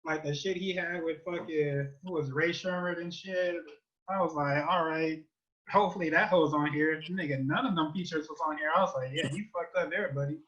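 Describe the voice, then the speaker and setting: An American man co-hosting a podcast, giving album reviews in casual, profane, animated and exasperated slangy banter. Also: on the high side, normal speed, highs cut off.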